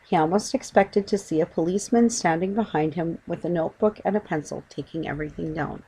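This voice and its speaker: Lower-pitched, husky woman storyteller. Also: a little fast, warm tone, rough recording. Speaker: audiobook narrator. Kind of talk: scripted children's story narration.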